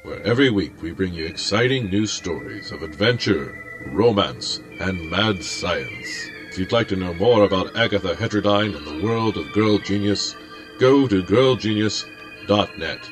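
A man's voice: sits low, animated, moderate pace. A man reading a scripted announcement as the announcer of a radio theatre show. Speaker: announcer of an audio drama show. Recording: music under the voice. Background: music.

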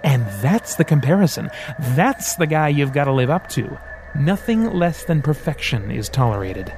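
A man voicing a smooth, sarcastic male devil who teases and threatens by turns in an audiobook. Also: a little high, big pitch swings, moderate pace, darkish tone, faint music behind. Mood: taunting. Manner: teasing, sarcastic, theatrical. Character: sly mocking male devil, smooth, menacing.

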